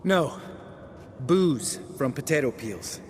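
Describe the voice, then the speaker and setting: An actor playing a cynical, needling monster hunter in a video game, blunt and sardonic. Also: male voice, medium pitch, lively intonation, slow, in a large hall, background noise. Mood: sardonic, bitter.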